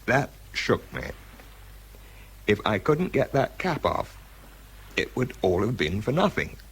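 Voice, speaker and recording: An actor with a British accent playing a tense, self-doubting wartime bomb disposal man in a radio drama, shifting between clipped reports and quiet confession. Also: on the low side, sing-song, slow, slightly rough, full character voice. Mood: shaken, subdued. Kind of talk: radio drama acting, first-person narration and spoken report.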